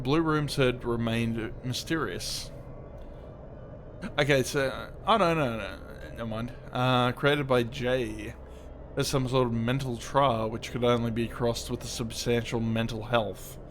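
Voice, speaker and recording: A man's voice: medium pitch, a little hiss or hum. A man doing casual, rambling game commentary in an understated, offhand way, sometimes reading text aloud as he plays. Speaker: livestreamer playing a game. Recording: creepy ambient music behind. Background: creepy ambient game music.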